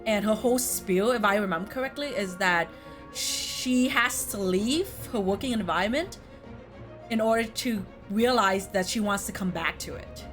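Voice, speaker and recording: A woman's voice: medium pitch, moderate pace, a bit bright, faint music behind. A woman chatting casually as a co-host, talking in a deliberative way as if thinking aloud. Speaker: co-host ranking video game quests. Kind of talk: casual chat.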